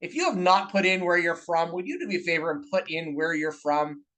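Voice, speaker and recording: A man's voice: a little high, fairly quick, fairly bright tone, far from the mic. Upbeat Canadian man hosting a webinar. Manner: upbeat, chatty, many filler uhs. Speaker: webinar host and business co-owner. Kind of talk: live online masterclass hosting. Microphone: video call mic.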